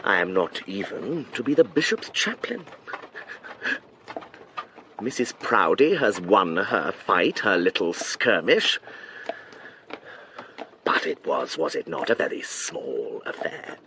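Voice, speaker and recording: An English actor playing a scheming, proud clergyman in a radio drama, speaking in a deliberate, oratorical way. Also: sits low, very animated, sharp tone, a little hiss or hum, full character voice. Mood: wounded pride. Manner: deliberate, oratorical, self-important. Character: scheming, wounded-proud male clergyman, smugly defiant.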